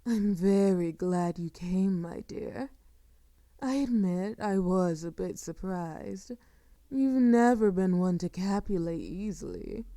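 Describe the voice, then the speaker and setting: A woman playing a sadistic, seductive vampire in an intimate audio roleplay, speaking softly and formally with a silky, teasing menace that often sinks toward a whisper. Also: on the low side, no echo, studio quality. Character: sadistic, seductive female vampire, courtly and predatory. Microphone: close microphone, dry.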